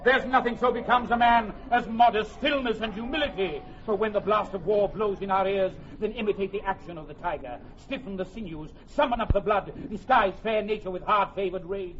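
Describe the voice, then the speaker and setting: A commanding young warrior king, acted by a man, his voice pitched very high. He declaims with emphatic, drawn-out phrases in classic Shakespearean style. The radio recording sounds muffled. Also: slight background noise, lightly acted. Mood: intense, measured. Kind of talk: Shakespearean radio drama acting.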